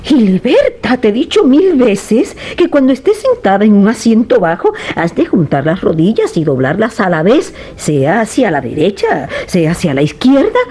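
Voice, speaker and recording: A woman's voice: medium pitch, sing-song, moderate pace, few pauses, warm tone, slightly rough. A woman playing a strict, prim old grandmother who scolds in a formal way in a drama. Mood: stern, prim.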